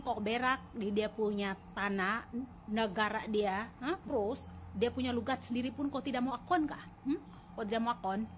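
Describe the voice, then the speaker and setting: A woman's voice: a little high, a little hiss or hum. Angry Sabah Malay woman ranting. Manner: scolding, confrontational. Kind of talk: angry rant to camera.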